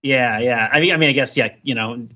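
A man's voice: medium pitch, fairly quick, pushed and bright, lo-fi sound. A man co-hosting a podcast, casually discussing films with jokey mock-serious analysis. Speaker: podcast co-host.

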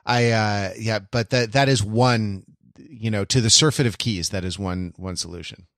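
A man co-hosting a podcast in casual chat, halting and wry as he searches for his words. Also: on the low side, fairly bright tone, no room sound, clean studio sound. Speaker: podcast co-host.

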